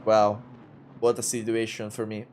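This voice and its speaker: A man's voice: lowish voice, normal speed, a little hiss or hum. A man in his twenties with an Italian accent, commentating a sim race in English, hesitant, with many fillers and restarts. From Italy. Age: 20s. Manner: gets louder and more excited in close battles.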